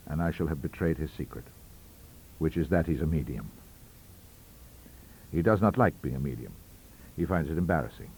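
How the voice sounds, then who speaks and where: Theatrical low-voiced male storyteller. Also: darkish tone, rough recording. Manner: theatrical, melodramatic, wide-ranging delivery. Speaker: audiobook narrator. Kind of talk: scripted narration of a ghost story.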